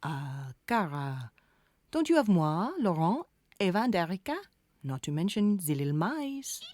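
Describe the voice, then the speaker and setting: Low-voiced, kindly French maid. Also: female voice, sing-song, a little slow, darkish tone. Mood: coaxing, reassuring. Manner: soothing, coaxing, peppering talk with French. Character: kindly French hotel maid who minds a child. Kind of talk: children's audio drama acting.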